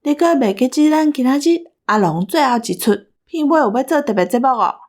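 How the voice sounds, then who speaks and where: Female co-host, Taiwanese accent, higher-pitched voice, bossy teasing banter. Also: lively intonation, fairly quick, darkish tone. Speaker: co-host of a radio drama cast special. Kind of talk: casual hosting banter.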